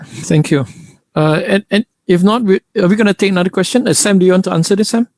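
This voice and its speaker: A Malaysian-accented man with a slightly high voice, moderating a press conference in an informal way. The sound is dry, with very little room on it. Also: fast, a little mellow, dry recording. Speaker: moderator and member of parliament.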